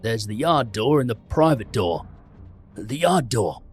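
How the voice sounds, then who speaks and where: A man voicing a burly, flustered, plain-spoken pub barman in an audiobook, loud and urgent. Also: medium pitch, moderate pace, faint music behind, close to an everyday voice. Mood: alarmed. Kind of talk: dramatised audiobook character voice.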